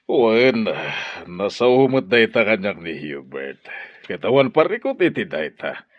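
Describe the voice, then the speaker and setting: A man's voice: lowish voice, very animated, a little slow, no room sound, lo-fi sound. A man playing an easygoing, conciliatory middle-aged father in a radio drama, with an Ilocano accent.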